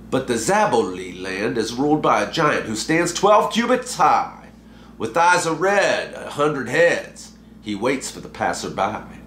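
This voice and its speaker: A man's voice: mid-range voice, a bit bright, echoey room, a little hiss or hum. A man voicing a weary, wry old mountaintop guru in a recited story poem.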